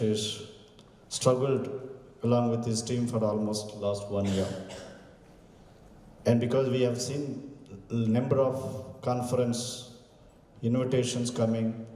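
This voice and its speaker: A man's voice: medium pitch, dark tone, a little hiss or hum. A man gives a formal welcome speech at a university conference and ceremoniously greets the dignitaries. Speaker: university faculty member giving welcome address. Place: large conference hall. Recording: echoey hall, distant mic.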